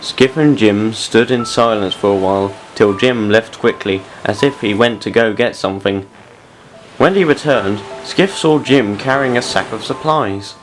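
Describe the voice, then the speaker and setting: A man's voice: fairly low pitch, unhurried, soft background music, poor audio. A man reading scripted story narration as the narrator of an audio drama.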